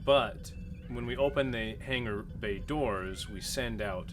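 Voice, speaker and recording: A man's voice: medium pitch, measured pace. A man playing a tabletop game, casually working out a plan with the other players. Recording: clear background noise.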